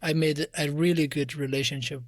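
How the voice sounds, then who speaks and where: A soft-voiced man from Afghanistan with an Afghan accent, giving reflective answers as an interview guest. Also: a little high, level tone, brisk, no echo. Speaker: interview guest, musician and music teacher.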